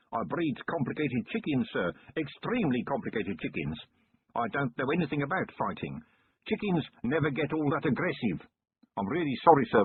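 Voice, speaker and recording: Guy putting on a jittery raspy chicken farmer, higher pitched, pleading and rambling, lo-fi muffled sound. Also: a little hiss or hum, lightly acted. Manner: pleading, over-explaining, keeps saying sir. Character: nervous plump male chicken farmer, rambling and timid. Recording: muffled lo-fi narrow-band recording.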